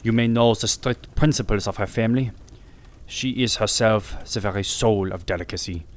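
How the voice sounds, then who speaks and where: A man's voice: fairly low pitch, normal speed, lightly acted. A man voicing an anxious, imperious king of thirty in a solemn, formal manner for an audiobook. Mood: anxious, emphatic.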